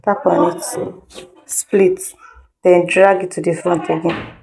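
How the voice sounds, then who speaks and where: A woman's voice: lowish voice, little pitch movement, slow, creaky, a little mellow. A woman instructor giving an informal step-by-step tutorial, repeating instructions and checking in with listeners. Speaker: video editing instructor.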